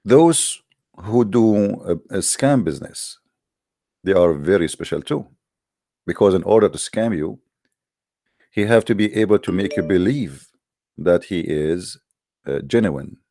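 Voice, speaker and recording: Low-voiced man. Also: lively intonation, measured pace, long pauses, dark tone, no echo, clean studio sound. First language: Arabic. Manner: hesitant, many fillers and restarts, firm and challenging. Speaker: host of a live call-in debate show. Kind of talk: live call-in show monologue.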